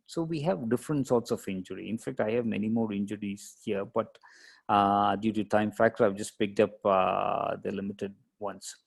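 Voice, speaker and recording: Low-pitched man giving a medical lecture. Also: moderate pace, vocal fry. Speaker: radiologist lecturer. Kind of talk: medical lecture, explaining case images.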